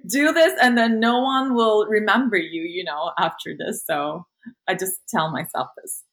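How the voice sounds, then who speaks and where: A woman's voice: mid-range voice, normal speed, a bit bright, poor audio. A woman from Turkey, a native Turkish speaker, talking as a guest in a casual interview, hesitant and full of fillers. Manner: hesitant, many fillers, laughs easily. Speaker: guest, content creator.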